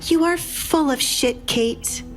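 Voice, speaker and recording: A woman's voice: high-pitched, takes it slowly, a bit bright, faint music behind, voice pushed up. A woman voicing a vengeful young noblewoman in an audio drama, cold and commanding, speaking through gritted teeth. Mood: seething anger. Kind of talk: audio drama character acting.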